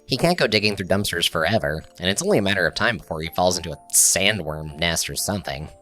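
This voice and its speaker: A man's voice: low-pitched, expressive, fast talker, bright, forceful tone, faint music behind. A man playing a laid-back, slangy alien mechanic in an audio drama, casual and irreverent. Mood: eager, scheming. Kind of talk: scripted audio drama dialogue.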